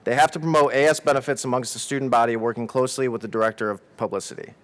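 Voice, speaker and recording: Smooth-voiced male debate moderator. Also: mid-range voice, fairly even intonation, racing, dry recording. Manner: reads roles out evenly, with some "uh" fillers. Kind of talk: formal announcements.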